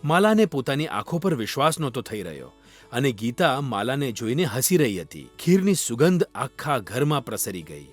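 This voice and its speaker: A man narrating a scripted children's fairy tale as a storyteller. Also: medium pitch. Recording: music under the voice. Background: background music.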